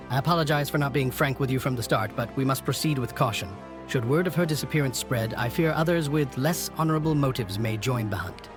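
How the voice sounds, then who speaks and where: An actor playing an earnest, dutiful station master in a fantasy game, speaking in a formal, courteous and grave way. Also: male voice, fairly high pitch, natural voice. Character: earnest, dutiful male station master guarding a noble secret. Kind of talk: scripted video game cutscene acting.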